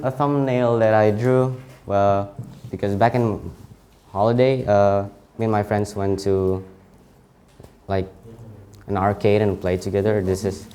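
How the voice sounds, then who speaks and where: A teenage student whose first language is Indonesian presents his own CV in English with an Indonesian accent. His voice sits a little low and has a warm, soft tone. He speaks haltingly, often breaking off and correcting himself. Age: late teens. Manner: halting, frequent self-corrections. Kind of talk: informal presentation.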